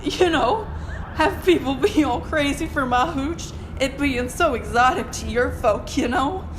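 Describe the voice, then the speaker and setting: A woman's voice: high voice, animated, unhurried, background noise. A woman playing a naive, otherworldly moonshiner in an audio drama, with a pleading manner. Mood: sheepish. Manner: folksy rustic speech, pleading.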